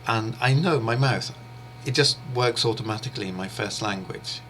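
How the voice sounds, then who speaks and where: A man whose first language is English, teaching an interpreting course in a steady, explanatory way with pauses and fillers. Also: on the low side, a little hiss or hum. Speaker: interpreting instructor. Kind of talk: explanatory online lecture.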